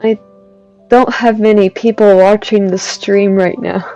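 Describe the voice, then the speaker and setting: A woman streaming a video game, reading dialogue aloud carefully and deliberately through a dull lo-fi microphone. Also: mid-range voice, steady tone, warm tone, soft background music.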